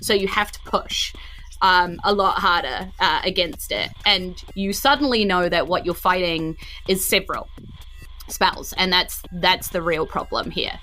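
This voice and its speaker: A woman narrating a tabletop game as game master, explaining haltingly and acting out small effects. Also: fairly low pitch, bright, forceful tone.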